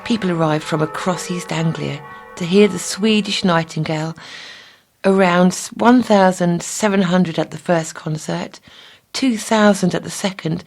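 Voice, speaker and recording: A woman's voice: lowish voice, gravelly. A woman narrating a scripted feature for a talking newspaper, reading from prepared text.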